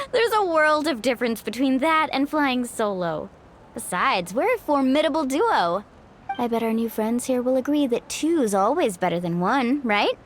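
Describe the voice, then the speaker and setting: A woman's voice: high-pitched, sing-song, unhurried, slight background noise. An actress playing an upbeat, confident young woman warrior from a seaside tribe in a video game, chatty and self-assured. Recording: game music behind.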